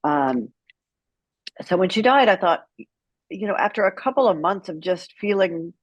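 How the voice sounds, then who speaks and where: Outspoken lady guest telling her story, emphatic, mid-pitched, kinda lo-fi audio. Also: animated, moderate pace, no echo. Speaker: guest, author and caregiver advocate. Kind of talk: candid interview storytelling.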